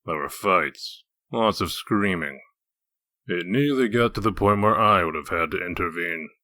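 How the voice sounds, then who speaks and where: Casting: male actor as hard-boiled wolf detective, very low, forceful edge, dry delivery for comic drama. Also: a little slow, dry recording. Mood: dry, probing. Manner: needling, dry, interrogating. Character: gruff, sardonic male wolf detective in human form. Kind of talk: comic dub dramatic acting.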